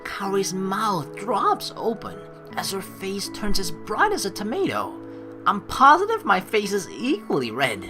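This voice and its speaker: A woman's voice: mid-range voice, huge pitch swings, a little slow. A woman hosting a game playthrough, reading the text aloud and adding jokey, rambling casual commentary. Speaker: let's play host. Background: game music.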